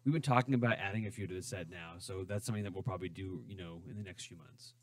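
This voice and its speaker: Man, band musician guest, casual fast chatter, dry studio mic. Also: low voice, no room sound. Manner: quick, relaxed, filler-heavy talk. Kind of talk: casual radio interview. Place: radio studio.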